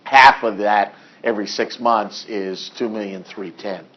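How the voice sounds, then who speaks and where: A hoarse male accounting instructor in a classroom lecture, reading figures deliberately and adding dry asides. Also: lowish voice, fairly even intonation, lo-fi sound. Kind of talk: classroom lecture working through problems.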